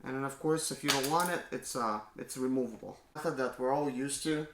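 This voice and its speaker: A man casually unboxing a gadget on his vlog, often exclaiming with excitement. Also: mid-range voice, fairly quick. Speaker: mobile mechanic YouTuber. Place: indoors at home. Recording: echoey room with faint noise.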